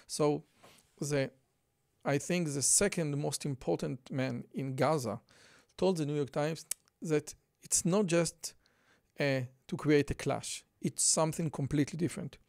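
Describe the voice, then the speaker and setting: A man with a thick Israeli accent talks through a news article as a podcast host, earnest and repeating his key points. His voice sits a bit high and has a gravelly edge. The sound is dry and close, with no room around it. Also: unhurried, a little mellow, no echo. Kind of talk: commentary reading out a news article.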